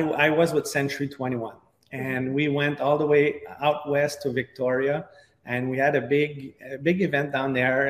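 Middle-aged male podcast guest, Quebec French accent, halting conversational delivery, heavily echoing room. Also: mid-range voice. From Quebec, Canada. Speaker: podcast guest, real estate agent. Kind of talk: conversational interview storytelling. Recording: echoey, reverberant room.

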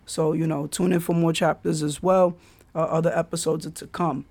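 A man's voice: on the high side, flat delivery, normal speed, darkish tone. A man hosting a podcast, telling stories in a laid-back way with street slang. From the Bronx, New York. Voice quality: raspy. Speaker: podcast host. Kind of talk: casual solo podcast storytelling.

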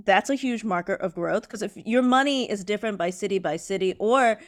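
A woman's voice: mid-range voice, a little fast. Chatty woman co-host explaining history. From not from India. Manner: chatty, self-correcting, lots of fillers. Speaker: podcast co-host. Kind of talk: casual chat, explaining art history.

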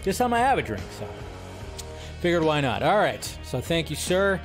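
Higher-pitched man hosting a casual livestream. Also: very animated, moderate pace, over music. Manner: informal, mildly profane, rambling with repeated phrases. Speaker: livestream host. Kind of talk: casual livestream chat, greeting viewers and reading comments.

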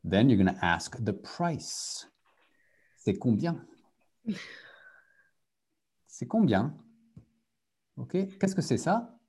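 Man, French teacher, husky voice, slow and deliberate, lively pitch, video call. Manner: switches between French and English, repeats key phrases for learners. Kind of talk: live online group lesson.

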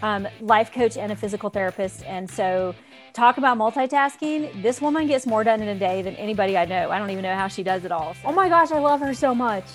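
A woman's voice: mid-range voice, expressive, fast, faint music behind. Gushing woman podcast host. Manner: gushing, enthusiastic. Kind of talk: casual podcast chat and sponsor reads.

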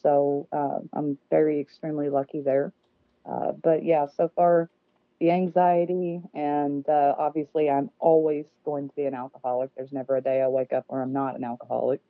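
A woman's voice: low-pitched, flat delivery, normal speed, dry recording. A woman who is a guest on a casual podcast, speaking in a nervous, self-deprecating way with many fillers, heard over a remote call line. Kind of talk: casual interview conversation. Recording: dry, phone-like remote call audio.

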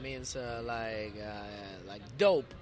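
A man from the Canary Islands with a Canarian Spanish accent, chatting casually in halting second-language English during an interview. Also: lowish voice, crowd noise. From Canary Islands, Spain. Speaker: podcast guest, visiting artist. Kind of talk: casual street interview chat. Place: outdoors on a New York street. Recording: busy city street with voices around.

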